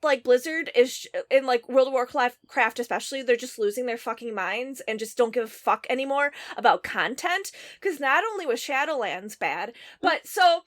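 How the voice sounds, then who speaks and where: A woman co-hosting a podcast in casual chat, talking in an animated, rambling way with lots of swearing and fillers. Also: on the high side, normal speed, few pauses. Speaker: podcast co-host.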